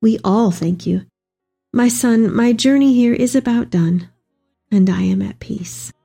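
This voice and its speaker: Casting: actress for an aged mother of the Messiah in audio drama, soft dark tone with a husky grain, tender, reflective monologue. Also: a little high, unhurried, soft background music, heavily acted. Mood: peaceful, loving. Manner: reflective, reverent storytelling. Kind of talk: dramatic monologue reading of a letter.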